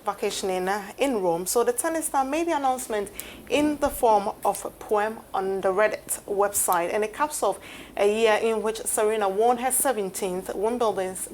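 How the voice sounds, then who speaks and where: A woman's voice: mid-range voice, moderate pace. Give me a woman presenting sports, noisy background. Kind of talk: live sports news segment with studio chat. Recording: steady background noise.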